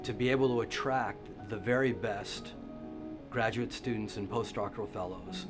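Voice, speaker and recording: Male interviewee for a promotional film, low voice, clear guitar music underneath. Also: normal speed.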